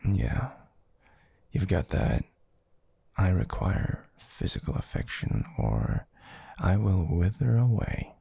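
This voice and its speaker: A man playing an affectionate, teasing young boyfriend in an intimate ASMR roleplay, murmuring softly and hesitantly with a breathy, gravelly voice. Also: low-pitched, no echo, exaggerated voice. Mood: teasing, tender. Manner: soft, hesitant, drawling, teasing.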